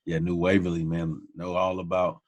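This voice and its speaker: A man's voice: deep voice, monotone, normal speed, highs cut off. A man in his forties, a teacher and podcast guest, talking in casual conversation, humble and friendly, calling people "man" often. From Texas.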